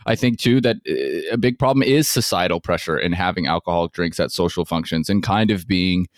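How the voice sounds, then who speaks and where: A man's voice: low-pitched, brisk, dry recording, studio quality. A man hosting a podcast interview, talking in a wordy, agreeable, conversational manner.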